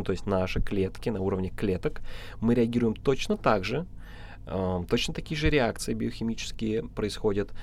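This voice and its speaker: A man giving informal motivational advice as a podcast coach, halting and repeating himself, often checking in with the listener. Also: fairly low pitch, a little mellow, a little hiss or hum.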